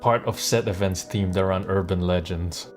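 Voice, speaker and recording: A man's voice: sits low, steady tone, moderate pace, faint music behind. A man giving casual, amused game livestream commentary, rambling and repeating words as he thinks aloud. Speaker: live streamer and voice actor.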